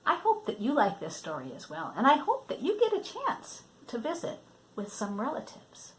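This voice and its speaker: A woman's voice: a little high, huge pitch swings, normal speed, low-quality recording. An older woman reading a children's storybook aloud, expressively, drawing out words for emphasis. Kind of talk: read-aloud storybook narration.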